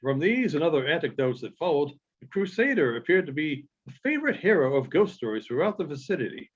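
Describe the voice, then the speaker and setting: A man with a husky, slightly high voice reads literary prose aloud in a dull, muffled recording. His delivery is measured and a little halting, phrase by phrase, with a lively rise and fall. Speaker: audiobook reader. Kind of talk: literary narration read aloud.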